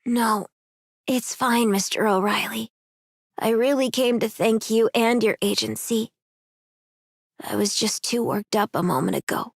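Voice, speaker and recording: A woman's voice: a little high, unhurried, creaky, pauses often, husky, dry recording, clean studio sound, exaggerated voice. A woman voicing a polite, restrained character in an anime game. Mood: apologetic, subdued.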